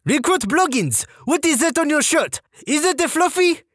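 Stern French-Canadian woman sergeant, acted by a man, very high voice, fussy and scolding, comic story character. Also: sing-song, normal speed, fairly bright tone, no echo, studio quality, heavily acted.

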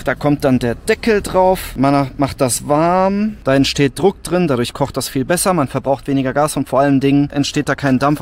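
A man's voice: mid-range voice, slight background noise. A man in his thirties giving casual, colloquial and enthusiastic explanations to camera as a vlogger. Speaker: vlogger, host. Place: in and around a camper van. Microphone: handheld camera at arm's length.

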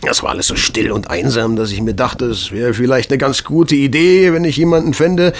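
An actor playing a talkative, down-to-earth London police constable in a German audio drama, telling things in a colloquial way. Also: male voice, mid-range voice, normal speed, slight background noise, natural voice. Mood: uneasy storytelling. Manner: colloquial, chatty storytelling.

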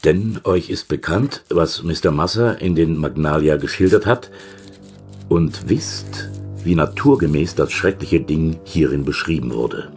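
Audio drama villain: ancient sinister occultist, very low, swooping delivery, archaic and formal, music underneath. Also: male voice, lively intonation, darkish tone, heavily acted. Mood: grave warning. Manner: formal, archaic, deliberate diction. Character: sinister centuries-old male occultist dictating a secret letter. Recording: music behind the voice.

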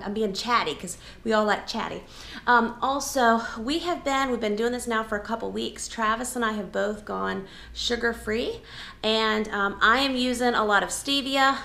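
Chatty woman with a gravelly edge. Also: on the high side, slight background noise. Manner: chatty, rambling with many fillers. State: pregnant. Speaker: vlogger. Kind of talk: casual chatty grocery haul vlog. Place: home dining room, indoors.

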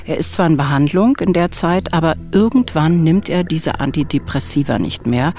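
A woman's voice: low-pitched, moderate pace, warm tone, faint music behind. A woman co-hosting a true-crime podcast, telling the story at a close studio microphone. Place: small radio studio.

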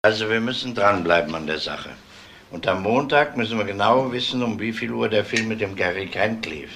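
An actor playing a dry, polite, methodical police detective questioning people in a German crime radio drama. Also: male voice, on the low side, brisk, fairly bright tone. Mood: businesslike.

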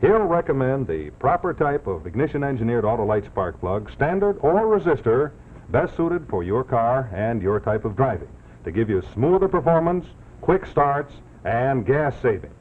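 An American man in his thirties giving a folksy, emphatic 1950s television sponsor pitch as an announcer. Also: big pitch swings, normal speed. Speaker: commercial announcer. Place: television studio set. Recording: tinny 1950s TV soundtrack, faint noise.

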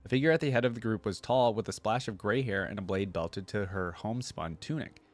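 A young man reading lore aloud and commenting casually, with expressive reading and conversational asides, close to a desk microphone. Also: fairly low pitch, brisk. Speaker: reaction video host. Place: indoor streaming setup. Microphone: close desk microphone on a boom arm.

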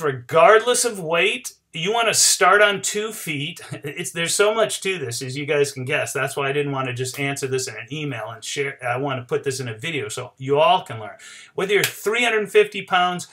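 A man's voice: a little high, expressive, moderate pace, bright, forceful tone. A middle-aged man explaining as an informal sports coach, emphatic and talking directly to his viewers. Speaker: barefoot waterskiing coach. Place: small cluttered indoor home office.

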